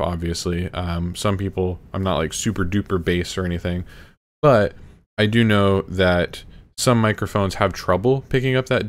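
A man in his thirties reviewing his microphone to a webcam in a small home room, rambling casually and correcting himself. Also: low-pitched. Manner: rambling, self-correcting, apologetic asides. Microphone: studio condenser mic close to face.

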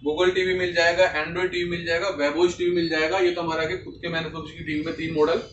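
A man in his thirties rattles off TV models and prices in a fast sales patter, keeping his voice a little high. He stands off-mic, so the sound is roomy and somewhat dull. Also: little pitch movement, distant mic, noisy background. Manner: fast salesy patter, addresses the host as sir. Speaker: TV shop salesman. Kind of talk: sales pitch. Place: large TV showroom indoors.

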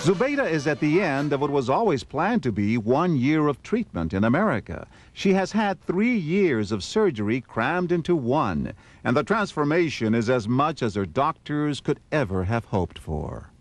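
A man narrating a television news magazine story in a measured, scripted voice-over. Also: fairly high pitch, sing-song, slight background noise. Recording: music bed under voice.

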